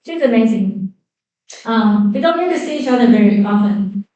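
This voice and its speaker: A woman with a Chinese accent introducing fellow musicians onstage at a concert, halting and self-correcting with many fillers. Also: a little high, expressive, mellow, lo-fi sound. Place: concert hall. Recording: echoey hall, voice from a distance.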